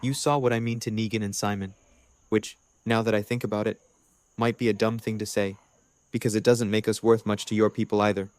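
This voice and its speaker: A man's voice: fairly low pitch, flat delivery, fairly quick, no room sound, close to an everyday voice. A man playing a nervous male ex-prisoner in a fan audio drama, earnest and a little stumbling as he pleads.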